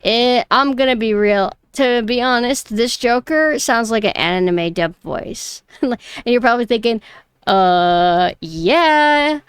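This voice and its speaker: A young woman with a high voice, chatting casually and snarkily on a stream, her close mic clipping on loud peaks. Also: expressive, slow, smooth, dry recording. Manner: chatty, rambling, mockingly snarky. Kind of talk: casual reaction stream chat. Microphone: close mic that clips loud peaks.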